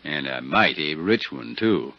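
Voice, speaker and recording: An actor playing a folksy, easygoing older small-town Western sheriff in a 1950s radio drama. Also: male voice, extremely low pitch, animated, measured pace, no echo, heavily acted. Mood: frank, reflective. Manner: folksy, drawling out hesitations. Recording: dull 1950s radio recording.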